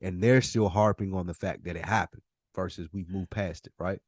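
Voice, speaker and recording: A man's voice: low voice, fairly even intonation, normal speed, no echo, studio quality. A man co-hosting a podcast, talking casually as he asks questions and sums up what he has heard. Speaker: podcast co-host. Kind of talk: casual conversation, questioning and summing up.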